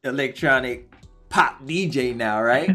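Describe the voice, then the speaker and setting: A man's voice: mid-range voice, a little slow, bright, forceful tone, faint music behind. A man hosting a casual talk show interview, chatty and hyped, repeating himself often.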